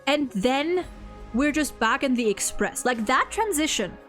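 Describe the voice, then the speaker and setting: A woman with a fairly high voice chats casually about gaming. She is animated and gushing, often slipping into quotes as she explains. Soft music plays behind her. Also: a little slow. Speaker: co-host ranking game story quests.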